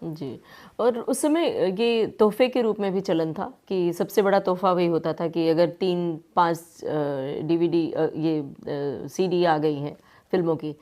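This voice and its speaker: A woman's voice: lowish voice, a little slow, mellow. A woman with an Indian accent hosting a conversational radio interview, often putting in short affirming words.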